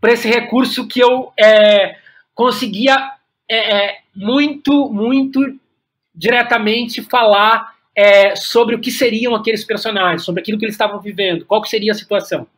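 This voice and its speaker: A man's voice: high voice, steady tone, a bit bright. A Brazilian man talks as a guest in an interview. He speaks in a reflective, searching way, often stopping to repeat a word before he finds the rest of his thought. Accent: Brazilian Portuguese. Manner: halting and searching, repeats words as thinking aloud. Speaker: podcast guest, playwright and director.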